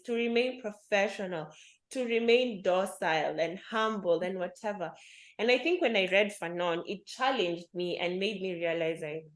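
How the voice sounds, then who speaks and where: A young woman hosts a relaxed conversation with a close friend, thoughtful in her points and quick to laugh along. Her voice carries a noticeable room echo, as if she is recording in a bare space. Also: mid-range voice. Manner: affirming, laughs easily, reflective. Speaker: podcast host. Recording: roomy echo.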